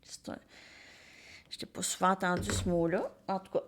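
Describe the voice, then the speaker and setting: A woman's voice: fairly low pitch, steady tone, moderate pace, fairly bright tone. A woman giving a casual tarot reading, rambling and hesitant, sometimes dropping to near-whispers. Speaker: tarot card reader.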